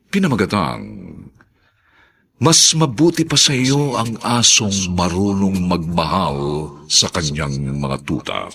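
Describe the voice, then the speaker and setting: A man narrating and announcing a radio drama in a solemn, florid, theatrical way, with a husky voice. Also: on the low side, fairly bright tone. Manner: solemn, florid, drawn-out phrasing.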